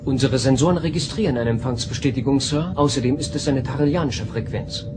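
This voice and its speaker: A man's voice: medium pitch, a little fast, natural voice. An actor playing a matter-of-fact male starship bridge officer in a radio drama. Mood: factual. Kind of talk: German radio play acting. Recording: loud music behind radio drama.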